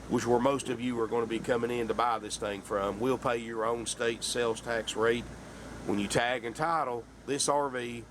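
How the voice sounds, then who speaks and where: A man, hoarse from a sinus infection, giving a folksy sales pitch for a camper van and calling his viewers folks. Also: fairly bright tone, a little hiss or hum. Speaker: RV dealer. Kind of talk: sales pitch walkthrough video.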